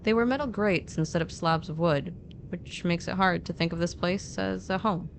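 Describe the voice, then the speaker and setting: A woman's voice: fairly low pitch, moderate pace, vocal fry, a little hiss or hum. A woman co-narrating a fiction podcast, telling a scripted story in short, descriptive, conversational phrases.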